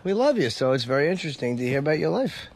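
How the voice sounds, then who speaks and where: A man's voice: a little high, very animated, racing. Man bantering casually over background noise. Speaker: radio show personality. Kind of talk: casual comedic radio banter.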